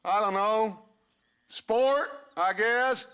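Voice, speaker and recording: A slow, smooth-talking outlaw boss. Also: male voice, high-pitched, sharp tone, exaggerated voice. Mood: mocking. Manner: grandiose, mocking, slow and theatrical. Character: charismatic male outlaw gang leader, smooth-talking. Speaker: gang leader.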